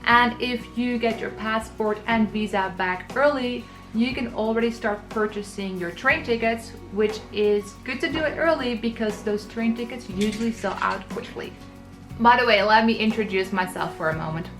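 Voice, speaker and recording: A woman from the Netherlands in her thirties giving travel tips in a scripted vlog, explaining clearly and practically. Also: fairly high pitch, normal speed, fairly bright tone, faint music behind. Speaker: travel vlogger.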